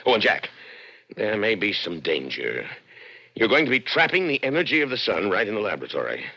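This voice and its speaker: A proud, imperious scientist from a vintage radio play. His low voice is hard-edged and very forceful, swinging widely as he lectures, on a dull old broadcast recording. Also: huge pitch swings, a little fast, gravelly, slightly rough, full character voice. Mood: assured, instructing. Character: proud, coldly rational inventor, a doctor and father. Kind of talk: 1960s radio drama acting.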